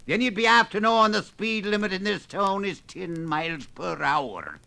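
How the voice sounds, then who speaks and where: A man's voice: high-pitched, lively intonation, moderate pace, vocal fry, very noisy. An actor playing a bossy, folksy old-time man who scolds motorists, in 1950s radio comedy style. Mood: scolding. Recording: vintage radio with loud engine clatter.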